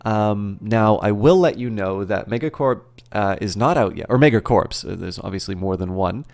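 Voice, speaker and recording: Gravelly, halting man hosting a live stream. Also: lowish voice, a little mellow. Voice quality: slightly rough voice. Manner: halting, rambling, thinking aloud. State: getting over a recent illness. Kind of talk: casual live stream chat, greeting viewers.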